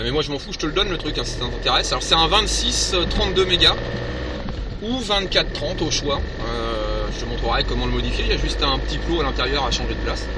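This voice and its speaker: A man with an accent from France, explaining things informally in a casual two-way radio chat. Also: on the high side. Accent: French, from France. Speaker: amateur radio hobbyist. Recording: distant, loud noise on FM radio contact.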